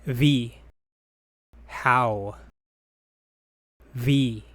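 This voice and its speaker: A language teacher reading short phrases one by one, each repeated in a measured, deliberate way as a drill. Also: mid-range voice, fairly bright tone, no echo, studio quality.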